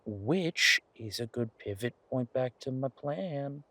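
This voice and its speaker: A man playing an affectionate, eager chef boyfriend in a romance audio roleplay, husky-voiced, teasing and earnest as he rambles to justify himself. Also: medium pitch, lively intonation, slow, sharp tone, heavily acted.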